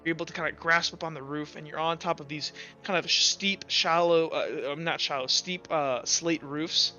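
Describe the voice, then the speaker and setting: A man's voice: on the high side, fairly even intonation, moderate pace, pushed and bright. A man improvising narration as game master of a tabletop roleplaying game, casual and hesitant, with lots of filler words. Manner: casual, hesitant, loosely improvised delivery with frequent "like" and "kinda". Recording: dull lo-fi audio with music behind. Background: background music.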